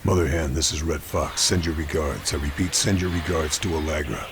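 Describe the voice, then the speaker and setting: Very deep, stoic soldier. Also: male voice, background noise. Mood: urgent. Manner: terse, grave, measured. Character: stoic, determined lone Allied sniper officer. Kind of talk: video game cutscene acting and mission narration.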